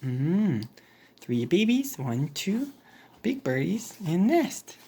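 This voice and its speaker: A woman's voice: lowish voice, very animated, slow, dark tone, breathy edge. A woman reading a children's picture book aloud in a playful way, with little interjections and sound effects.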